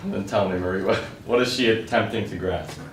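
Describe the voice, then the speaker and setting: An actor plays a self-assured man who talks down to his partner in halting, argumentative stage dialogue. His voice is fairly low, heard from a distance in a strongly echoing room with faint noise. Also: close to an everyday voice. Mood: smug, dismissive. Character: James, condescending, self-assured man who lectures on art. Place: indoor stage, recorded from afar. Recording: echoey stage recording from a distance.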